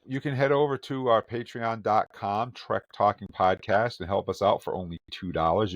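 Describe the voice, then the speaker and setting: A man's voice: lowish voice, no echo. A man hosting a casual podcast, introducing his co-hosts with an upbeat, jokey manner. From Vermont. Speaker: podcast host.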